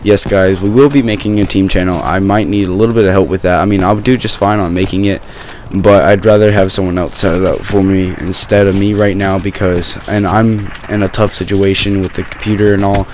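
A young man with a sore throat, talking low and rambling casually over gameplay footage on his gaming channel. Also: steady tone, fairly quick, a little mellow, slight background noise. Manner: rambling, many fillers and restarts.

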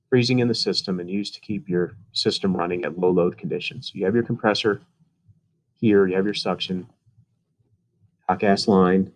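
A man with a low, mellow voice talks through technical slides in a relaxed voice-over. He explains things casually, with plenty of ums and uhs along the way. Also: poor audio. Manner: casual, uses fillers. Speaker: HVAC instructor.

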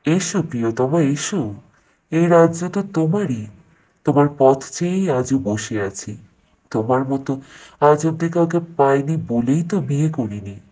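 A man's voice: fairly high pitch, lively intonation, measured pace, mellow, low-quality recording. A man voicing an arrogant middle-aged sorcerer king in a fairytale cartoon, self-assured, wooing and scheming.

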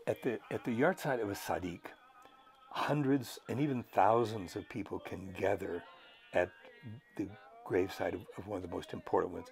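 Low, gravelly older male lecturer. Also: a little mellow. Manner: measured, thoughtful, frequent pauses and fillers. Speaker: professor lecturing on history. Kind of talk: unscripted educational talk to camera.

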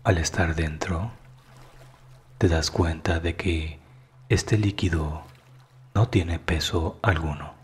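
A man narrating a scripted guided meditation in a calm, soothing manner that is hushed at times. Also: low voice, slow pace, long pauses, slight background noise.

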